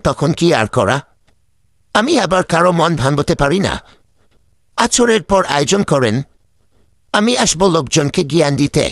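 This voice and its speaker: A man's voice: a little high, no echo, clean studio sound. A man voicing the witty old village sage in a cartoon, teasing and grumbling to himself. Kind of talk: cartoon voice acting.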